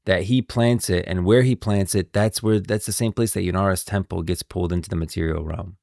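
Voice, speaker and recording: A man's voice: low voice, fairly even intonation, fairly quick, creaky, a little mellow, dry recording. A man streaming game lore commentary, moving between scripted narration and off-the-cuff explanation.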